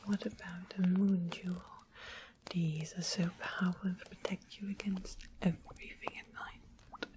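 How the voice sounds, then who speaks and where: A woman voicing a sweetly sly royal witch in a soft breathy whisper for an ASMR fantasy roleplay. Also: lowish voice, little pitch movement, a little slow, lightly acted. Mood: musing, coaxing. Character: sweetly sly royal witch trading rare magical items. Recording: clearly noisy close whispered recording.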